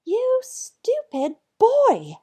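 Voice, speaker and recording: An exasperated storybook mother, as a woman narrator plays her, scolds her foolish son in a high, shrill voice. Her words swoop and stretch out in loud reproach. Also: wildly swooping pitch, very slow, exaggerated voice. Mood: shrill exasperation. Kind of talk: storybook character voice.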